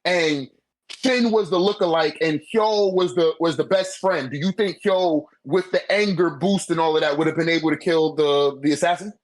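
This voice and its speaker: A man's voice: on the high side, moderate pace, dry recording. Guy in a casual debate, slangy, keeps checking you get him, dry sound. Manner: informal, slangy, argumentative; repeatedly checks for agreement. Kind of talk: casual debate about a manga ranking.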